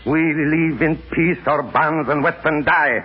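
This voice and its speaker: An actor playing an arrogant Indian mystic kidnapper who commands formally and coldly in a 1930s radio drama. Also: male voice, fairly high pitch, steady tone, unhurried, close to an everyday voice. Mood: defiant. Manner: formal, imperious speech. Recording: muffled vintage 1930s radio recording, music.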